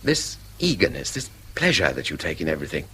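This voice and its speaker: A man's voice: very deep voice, wildly swooping pitch, a little fast, pushed and bright, a little hiss or hum, heavily acted. A man with an English accent playing a courteous, jaded, inquisitive traveller in a radio drama, musing and politely probing. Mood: curious, admiring. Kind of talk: British radio drama acting.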